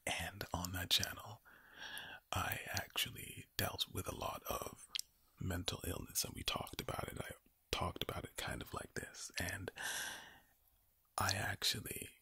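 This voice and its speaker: A man whispers very close to the mic in a low, heavily breathy voice. He gives an ASMR pep talk, leaning on repetition and rhetorical questions. The creaky undertone gives him an ominous, villain-like sound. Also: little pitch movement, bright, forceful tone, dry recording. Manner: slow deliberate phrasing with repetition and rhetorical questions. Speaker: ASMR video creator. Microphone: close microphone.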